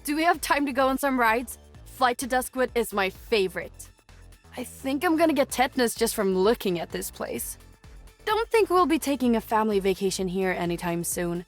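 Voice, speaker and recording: A woman's voice: a little high, expressive, moderate pace, soft background music, natural voice. A woman playing a chatty, wry young character in a video game. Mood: wry, playful.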